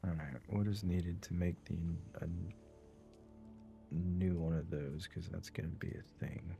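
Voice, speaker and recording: A man doing casual live gameplay commentary, thinking aloud and muttering to himself in short, unhurried phrases. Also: deep voice, fairly even intonation, vocal fry, dark tone, soft background music. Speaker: game streamer.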